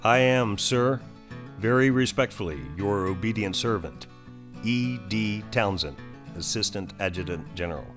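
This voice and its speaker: A man plays a formal nineteenth-century army adjutant delivering written orders in an audio story. The voice is low and official, with deliberate, clipped phrasing over plucked guitar. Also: fairly bright tone. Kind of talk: reading a 19th-century military letter. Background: plucked guitar music.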